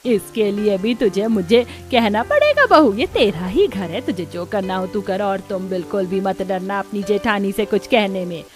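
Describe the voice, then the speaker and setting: A woman's voice: medium pitch, lively intonation, moderate pace, hardly any pauses, soft background music, lightly acted. A woman voicing a doting, scheming older mother-in-law in a scripted cartoon, fawning and scornful by turns.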